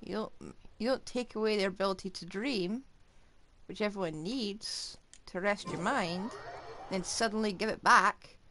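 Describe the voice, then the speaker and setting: A woman comments casually on a game she is streaming. Her voice is middle-pitched and her delivery is dry and musing. There is faint noise behind her. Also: normal speed, pushed and bright. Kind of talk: casual live gameplay commentary.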